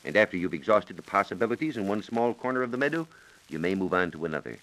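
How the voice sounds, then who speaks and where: Deep, animated 1940s American male science reporter. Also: low-quality recording. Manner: measured, instructive, precise. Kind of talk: scripted 1940s radio explanation. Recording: 1940s radio broadcast recording.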